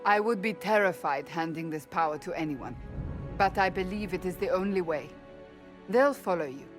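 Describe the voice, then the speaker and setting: A woman's voice: on the low side, normal speed, over music, natural voice. An actress playing a resolute, devout warrior woman in a video game, firm and earnest. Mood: solemn, resolute. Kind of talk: video game cutscene acting.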